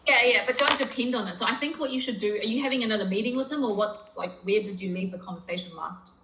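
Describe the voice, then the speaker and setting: A woman's voice: medium pitch, quick pace. A woman tutoring English in a casual, direct and instructive conversational way. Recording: echoey room, noisy, clicks.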